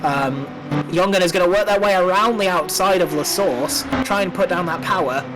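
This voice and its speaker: A man's voice: high-pitched, normal speed, poor audio. A man doing live play-by-play commentary on a sim race, slightly nasal from a coming cold, with many fillers and restarts.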